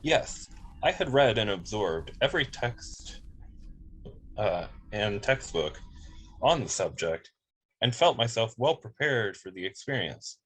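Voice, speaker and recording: A man playing a polite, logical android officer in a science fiction table read, speaking formally and precisely with calm dignity. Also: on the low side, measured pace, exaggerated voice, poor audio. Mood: calm, explanatory. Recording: clear background noise, room ambience.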